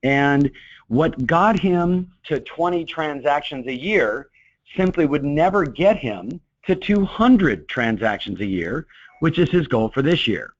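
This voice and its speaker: A middle-aged man coaching real estate agents in a webinar, talking in an energetic, motivational way with lots of "you know" fillers. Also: fairly high pitch, a little slow, no echo. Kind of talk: webinar talk. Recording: dull, dry lo-fi webinar audio.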